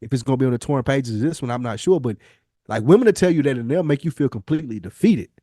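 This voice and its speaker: A man's voice: mid-range voice, lively intonation, fast, dark tone, dry recording, clean studio sound. An American man hosting a podcast, talking casually and reflectively in an earnest, rambling, emphatic way, with slang and swearing. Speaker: podcast host. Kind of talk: casual, reflective conversation with a co-host.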